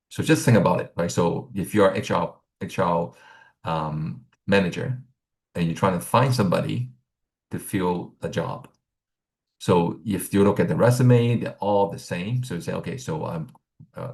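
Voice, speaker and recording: A middle-aged man from Hong Kong explains career options like a relaxed instructor, with a very low, gravelly voice. He rambles and keeps checking in with "right?" as he goes. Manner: rambling, many fillers and "right?" tags. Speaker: accounting exam instructor. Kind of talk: casual teaching talk.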